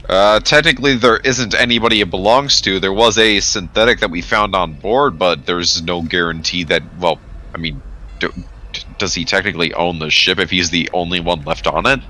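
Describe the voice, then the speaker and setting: A man's voice: low voice, moderate pace, bright, forceful tone. A man playing a skeptical, argumentative spacefarer in a tabletop game, speaking bluntly and with sarcasm. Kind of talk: tabletop game role-play.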